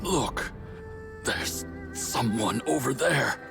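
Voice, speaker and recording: An actor playing a weakened, noble yaksha warrior in a video game, speaking in halting, labored fragments with confused anguish. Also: male voice, mid-range voice, animated, gravelly, heavily acted. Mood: weak, apologetic. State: weak, coughing. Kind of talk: dramatic video game acting. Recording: loud music under the voice.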